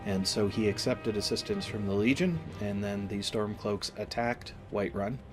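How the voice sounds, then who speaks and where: A man with a slight cold giving casual let's-play commentary, explaining things in a deliberate, halting way. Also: on the low side. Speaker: gaming video host. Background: game music.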